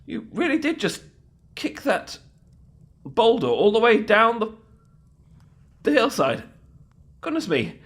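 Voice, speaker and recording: A flustered British knight for a comic fantasy audio drama. His voice sits high and swings up and down freely. He stammers, repeats himself and stays nervously polite, like a lovestruck, adorkable bumbler. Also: wildly swooping pitch, takes it slowly, pauses often, fairly bright tone, exaggerated voice. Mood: awkward, fretting. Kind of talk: comedic fantasy audio roleplay.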